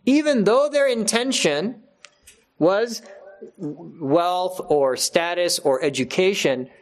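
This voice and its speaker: Earnest man giving a religious talk. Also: sits high. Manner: earnest, emphatic, repeats key phrases for stress. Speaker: religious teacher addressing his congregation.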